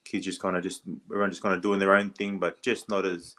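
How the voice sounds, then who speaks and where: An Australian man in his thirties, a guest on a podcast, talking casually and hesitantly with many pauses and restarts. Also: low voice, level tone, pushed and bright. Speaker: podcast guest, former rugby league player. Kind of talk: casual interview chat.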